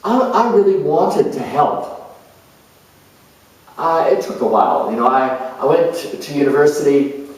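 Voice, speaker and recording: An earnest, nurturing spiritual counselor played by a man delivers a reflective dramatic monologue in a very echoey space, some way from the microphone. The phrasing is slow and halting, with deliberate pauses between thoughts. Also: on the high side, expressive, darkish tone. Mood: earnest, troubled. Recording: very echoey room, distant microphone.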